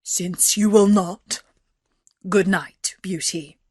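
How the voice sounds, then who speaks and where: Woman doing a gruff polite beast from a fairy tale, slow and deliberate, kinda husky. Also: medium pitch, steady tone, darkish tone, no echo, clean studio sound. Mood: resigned, earnest. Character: gruff but courteous male beast.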